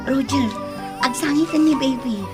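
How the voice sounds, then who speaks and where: A woman acting a suspicious, bad-tempered mistress of the house, sharp and accusing, in a scripted audio drama with music behind. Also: high voice, fairly even intonation, moderate pace, lightly acted. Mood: curt.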